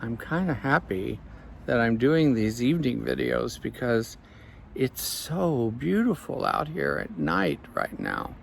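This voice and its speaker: A man, a life coach, speaking slowly and reflectively in an evening vlog recorded outdoors on a phone. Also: medium pitch, huge pitch swings, vocal fry, a little hiss or hum. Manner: slow, measured, reflective.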